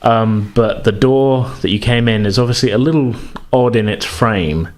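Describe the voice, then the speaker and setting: A man's voice: mid-range voice, moderate pace. A man narrates as game master of a tabletop game, in a rambling, self-correcting manner.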